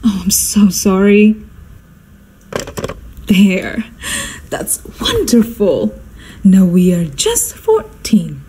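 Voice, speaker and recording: A woman's voice: mid-range voice, very animated, drawn-out words, pauses often, mellow, a little hiss or hum, poor audio. A woman playing an imperious, easily outraged society hostess and mother in a radio drama, exclaiming and scolding. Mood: flustered, sympathetic.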